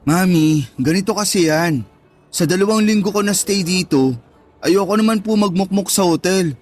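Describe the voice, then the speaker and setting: An eager, high-voiced affectionate son. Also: male voice, normal speed, soft background music. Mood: pleading. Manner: expressive, pleading, boyish, respectful to his mother. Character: affectionate, eager grown son and older brother. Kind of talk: Tagalog radio drama acting.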